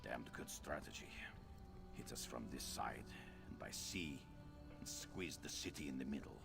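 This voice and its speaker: An actor playing a gruff, weary fantasy-game sergeant, blunt and plain-spoken, with a deep gravelly voice. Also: male voice, unhurried, over loud music, exaggerated voice. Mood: gruff, approving. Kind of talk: video game character acting.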